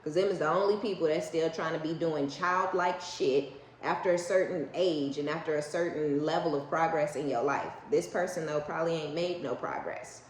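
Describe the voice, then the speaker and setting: A woman's voice: low voice, moderate pace. An American woman giving a casual, unscripted tarot reading to viewers in a blunt, sassy, slangy way, swearing freely and often checking in with short tag questions. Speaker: tarot reader, online host. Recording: echoey room with faint background noise.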